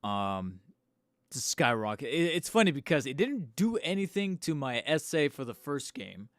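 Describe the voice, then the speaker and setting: A man hosting a live stream from home on a studio microphone, a little hoarse and tired, rambling casually with fillers and restarts and poking fun at himself. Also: medium pitch, big pitch swings, normal speed, no echo. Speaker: channel host streaming live. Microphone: studio microphone close up.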